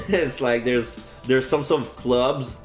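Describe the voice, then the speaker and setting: A man's voice: mid-range voice, takes it slowly, roomy sound, soft background music. A man in his forties from French Canada with a French Canadian accent, chatting casually on a live stream, rambling with lots of fillers and restarts. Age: 40s.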